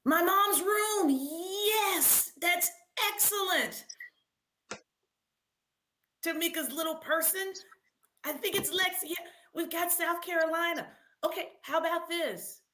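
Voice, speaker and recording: A woman hosting a live read-aloud quiz for children in an animated, encouraging way, calling out to participants and repeating questions. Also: extremely high pitch, slow pace, a little hiss or hum.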